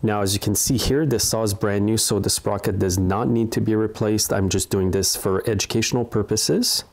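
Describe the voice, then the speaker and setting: Casting: middle-aged male repair-tutorial host, lowish voice, plain-spoken and methodical instruction. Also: steady tone, normal speed, darkish tone, slight background noise. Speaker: small engine repair host. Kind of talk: hands-on tutorial narration. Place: indoor repair workshop.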